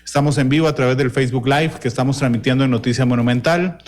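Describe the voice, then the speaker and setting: A man's voice: medium pitch, quick pace, darkish tone. Earnest male show host. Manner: earnest, addresses listeners directly. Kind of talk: live talk show opening.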